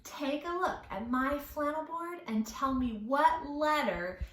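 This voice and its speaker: A woman's voice: sits high, slow, reverberant. A woman leading a children's storytime lesson, speaking or singing in an encouraging, playful way with careful, exaggerated enunciation for little ones. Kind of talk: children's lesson with songs and questions.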